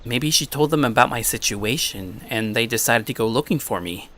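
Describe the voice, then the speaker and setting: A man playing an anxious, introspective high school boy who narrates his own story. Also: normal speed, a bit bright, lightly acted. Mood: puzzled. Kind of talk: first-person scripted story narration. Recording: music under the voice.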